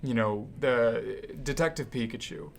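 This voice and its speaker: A young man with an American accent talks casually as a podcast co-host. His pitch sits in the middle for a man, and his delivery is informal and conversational. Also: measured pace, gravelly. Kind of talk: casual chat.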